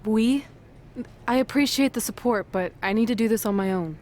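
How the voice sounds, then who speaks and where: A woman's voice: on the high side, moderate pace, darkish tone, close to an everyday voice. A woman playing a supportive, independent young female wrestler in a video game cutscene. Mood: friendly, firm.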